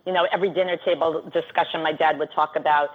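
A woman's voice: low voice, steady tone, fast, narrow, tinny audio. A middle-aged woman with a New York accent, a guest coach explaining at length in an articulate, list-like way. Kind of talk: radio interview.

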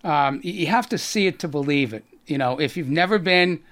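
A man's voice: fairly high pitch, animated, a little fast, a bit bright. A middle-aged man with a Boston accent, a guest on a business radio show, talking earnestly with many restarts and fillers. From Boston area, USA. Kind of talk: radio interview.

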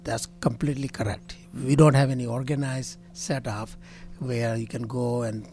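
Physician answering in short blunt statements. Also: male voice, mid-range voice, fairly even intonation, normal speed, mellow, soft background music. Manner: short, blunt statements, often opens with "see". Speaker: physician, medical association president. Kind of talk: interview answers.

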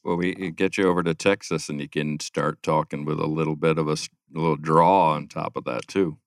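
A deep, low-pitched man hosts a podcast interview and asks friendly questions in an easy, chatty way. His voice is close and dry, with no room echo. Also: fairly even intonation, brisk. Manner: laughs along, friendly questions. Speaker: podcast host. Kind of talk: casual interview chat.

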